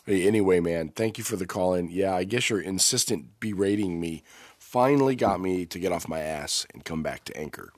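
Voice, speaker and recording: A man's voice: low voice, fairly quick, dry recording, clean studio sound. A man hosting his own podcast, talking loosely and rambling a bit, stuffy from a cold. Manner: loose, rambling, self-correcting. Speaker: podcast host. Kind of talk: casual podcast talk.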